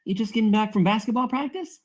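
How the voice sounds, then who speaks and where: A woman's voice: fairly high pitch, fast, darkish tone, lightly acted. A woman playing a loving but scolding middle-aged mother at a screenplay table read. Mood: curious.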